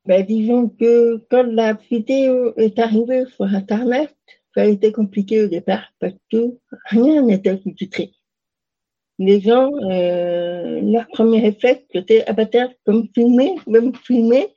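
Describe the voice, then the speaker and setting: A woman's voice: a little high, a little slow, mellow, muffled recording. A young woman answering interview questions casually, hesitant, with many fillers and restarts. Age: young adult. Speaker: interview guest.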